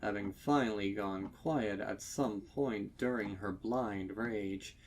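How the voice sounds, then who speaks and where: A man narrating a dark story with intense, theatrical delivery and deliberate phrasing. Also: lowish voice, slight background noise. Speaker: fan fiction narrator. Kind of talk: dramatic scripted horror story narration.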